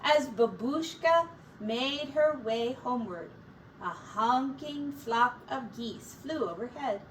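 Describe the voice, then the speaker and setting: A woman with a high voice reads a children's story aloud like a teacher. She takes her time, shaping the phrases expressively and deliberately for small listeners. The recording is a little distant from the microphone, with faint noise behind her. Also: lively intonation.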